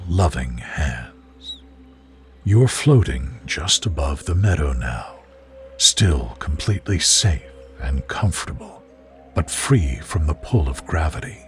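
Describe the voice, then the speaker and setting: Male narrator for guided sleep visualization. Deep, very slow, soothing and measured, with music underneath. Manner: soothing, gentle, measured delivery. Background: music behind the voice.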